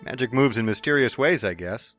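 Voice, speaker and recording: A cheerful, modest race car driver from a storybook reading, easygoing and joking, as a narrator puts him on. He speaks a little louder and brighter than plain narration. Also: medium pitch, expressive, a little fast, soft background music, pitched above the performer's own voice, lightly acted.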